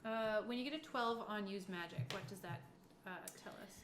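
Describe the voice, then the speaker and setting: A woman's voice: mid-range voice, fairly quick. A woman running a tabletop game as game master, narrating casually and encouragingly in a chatty, giggly way. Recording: echoey room, faint background noise.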